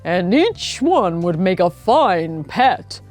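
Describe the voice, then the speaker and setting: A short, mustached pet shop man from a storybook, played by a woman, proud but hesitant in his patter. He talks slowly in a mellow tone over faint music. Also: on the low side, big pitch swings, pitched below the performer's own voice. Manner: slow, hesitant salesman's patter. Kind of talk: children's storybook character voice.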